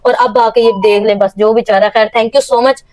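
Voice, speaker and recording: A woman's voice: fairly high pitch, steady tone, moderate pace, a little mellow, no room sound. A young woman with a Pakistani accent answering as an interview guest, informal and defensive, repeating herself for emphasis.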